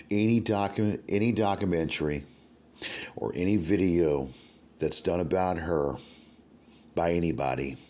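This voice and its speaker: A man with a deep voice addresses an audience in a threatening way. He speaks emphatically and circles back to repeat his warnings. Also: darkish tone.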